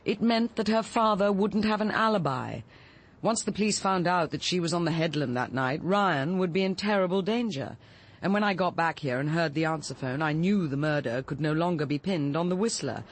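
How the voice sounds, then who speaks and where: A woman's voice: fairly low pitch, animated, normal speed. An actress playing a cool, resolute middle-aged woman confessing to murder, with an English accent and an articulate, unsentimental delivery in radio drama style. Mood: urgent, controlled. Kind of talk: BBC radio drama acting.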